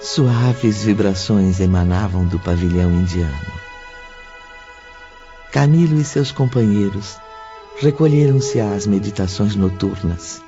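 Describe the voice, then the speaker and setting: A man narrating an audio drama in a measured storytelling voice. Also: fairly low pitch. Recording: dull audio under music.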